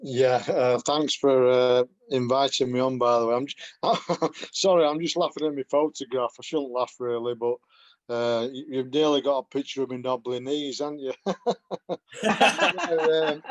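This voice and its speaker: A man with a broad Yorkshire accent talks slowly over a video call, chatty and self-deprecating, laughing at himself now and then. His voice sits at an everyday middle pitch, and the call audio is dull and lo-fi. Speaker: guest giving a testimonial. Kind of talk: casual video call chat.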